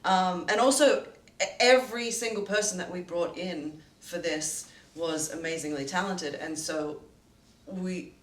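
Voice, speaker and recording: A woman co-producer in casual behind-the-scenes talk, speaking in a measured, orderly way with frequent "um"s. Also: lowish voice. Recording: echoey room, distant mic.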